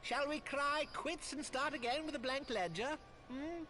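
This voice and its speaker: An actor voicing a smug, scheming wealthy merchant lord in a video game, with pompous, mock-courteous, drawn-out delivery. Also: male voice, extremely high pitch, bright, forceful tone, exaggerated voice. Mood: smug, calculating. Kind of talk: video game cutscene acting. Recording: game audio with music.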